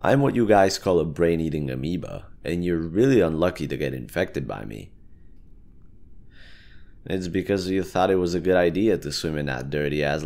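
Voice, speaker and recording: A man's voice: sits low, a little mellow. A man voicing a smug, snarky talking amoeba parasite in an audio roleplay, chatting casually and teasingly to the listener. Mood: smug, matter-of-fact.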